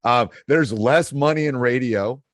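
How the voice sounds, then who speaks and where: A man's voice: mid-range voice, unhurried, no room sound. An American man chatting casually as a podcast host and basketball coach. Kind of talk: casual chat.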